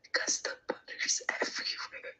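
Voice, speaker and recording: A woman joins a livestream as a guest and speaks in a whisper. Her delivery is halting, with a sharp, bright edge to the breathy sound.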